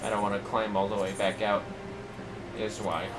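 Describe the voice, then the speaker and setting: A man chatting casually on a gaming livestream, sounding drowsy and muttering his low-key commentary. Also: flat delivery, normal speed, noisy background. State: tired, drowsy. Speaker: game livestreamer.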